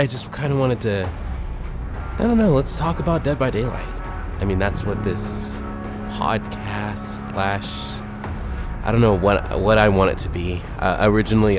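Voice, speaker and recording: A man with a lowish voice talks through his thoughts in a loose, rambling way, often stopping to rethink a point. He sounds a little phlegmy, as if still getting over a cold, and music plays clearly under his voice. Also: moderate pace. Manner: rambling and hesitant, thinking aloud. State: recovering from illness, a bit phlegmy. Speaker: podcast host. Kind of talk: casual solo podcast talk about a video game. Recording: voice over loud background music.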